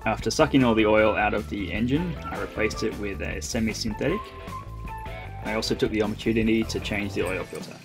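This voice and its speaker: A young man co-hosting a sailing vlog, narrating in a relaxed, joking way, with fillers, outdoors on a boat. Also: fairly low pitch, a little fast, vocal fry. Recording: loud music playing underneath.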